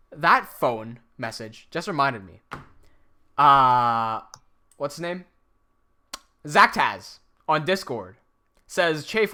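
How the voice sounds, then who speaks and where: Man, American accent, streamer, casual chat, bright tone, lively pitch. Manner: chatty, reads out viewer questions while fiddling with software.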